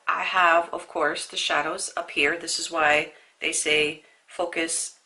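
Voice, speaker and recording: Stuffy-nosed young woman doing a chatty makeup tutorial, bright tense voice, lots of fry. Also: low-pitched, flat delivery, takes it slowly. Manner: chatty, storytelling, asides to the viewer. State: stuffy nose from a sinus infection. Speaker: beauty vlogger. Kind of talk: casual makeup tutorial talk.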